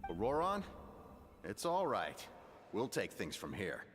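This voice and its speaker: A confident soldier from a video game, fairly low and reassuring. The inflection moves widely, and the voice rings with reverb over faint music. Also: male voice, huge pitch swings, unhurried.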